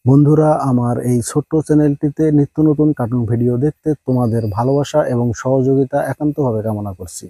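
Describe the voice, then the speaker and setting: Fast, very dark, husky male announcer. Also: mid-range voice, fairly even intonation, no room sound. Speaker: channel announcer. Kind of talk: scripted subscribe announcement.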